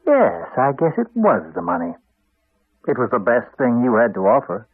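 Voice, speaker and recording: An actor playing a cold, contemptuous husband with a Canadian accent, speaking in a deliberate, cutting, condescending way in a radio drama. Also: male voice, mid-range voice, huge pitch swings, slightly rough, no echo. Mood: cruel, mocking. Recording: dull, band-limited 1980s radio recording.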